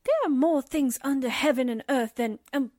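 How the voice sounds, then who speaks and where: A woman playing a naive, excitable newspaper assistant in a 1940s-style radio drama, hesitant and wide-eyed in delivery. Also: sits high, moderate pace, no echo, clean studio sound. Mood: spooked, insistent. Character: excitable, naive, movie-struck female reporter's assistant.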